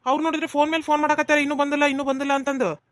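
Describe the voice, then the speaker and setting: Someone doing an impatient, fast-talking village father. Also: high-pitched, little pitch movement, fairly bright tone, dry recording. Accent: North Karnataka Kannada. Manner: impatient, hurrying others. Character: impatient village father of the bride, middle-aged man. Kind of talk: cartoon voice acting.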